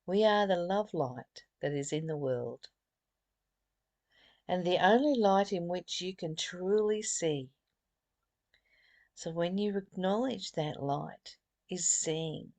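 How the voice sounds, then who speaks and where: A woman giving a reflective spiritual lesson, reading and explaining in deliberate, emphatic phrases with pauses and repetition. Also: mid-range voice, vocal fry, a little mellow, no room sound. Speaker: spiritual study teacher.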